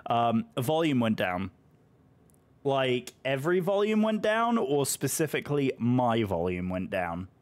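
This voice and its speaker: A British man, hoarse from a voice that is still recovering, chatting casually and effusively with viewers on a live stream. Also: medium pitch, sing-song, a little slow. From Britain.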